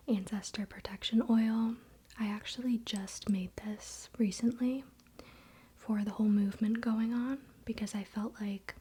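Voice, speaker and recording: A woman whispering breathily in an unhurried, confiding ASMR style, speaking directly to the viewer. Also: mid-range voice, warm tone, husky. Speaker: ASMR video creator.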